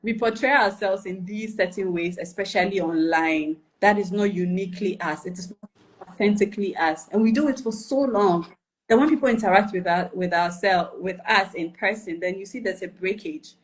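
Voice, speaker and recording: A woman's voice: mid-range voice, normal speed, rough recording. Coaching woman explaining step by step. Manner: explanatory, checks for understanding with "right?" and "okay?"; builds points with repeated questions and enumerations. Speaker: personal branding coach. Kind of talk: instructional live chat. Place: live video stream.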